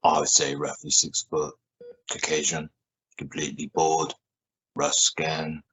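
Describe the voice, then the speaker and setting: Guy as calm level-headed astronaut in a radio play, super deep monotone, hissy noisy audio. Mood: measured. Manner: measured, matter-of-fact. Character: level-headed, measured male space mission crew member. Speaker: amateur radio play actor. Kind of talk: amateur radio drama acting. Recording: noisy home recording with clicks.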